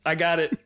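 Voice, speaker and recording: A man's voice: high-pitched, a bit bright. An American man in his thirties with a cold and a slightly congested voice, co-hosting a casual, jokey, rambling film discussion. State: has a cold, losing voice. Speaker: podcast co-host.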